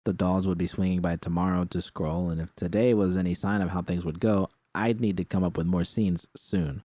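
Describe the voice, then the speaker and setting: A man's voice: deep voice, fairly even intonation, a little fast, warm tone, no room sound. A man reading scripted first-person fiction as an audiobook narrator.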